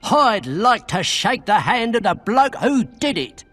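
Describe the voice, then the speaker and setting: A male video game villager with a husky, high voice, gossiping at an unhurried, swooping pace. Long reverb surrounds him. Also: very animated, a bit bright, full character voice. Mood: relieved, chatty. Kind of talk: video game character acting. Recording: long reverb, faint music.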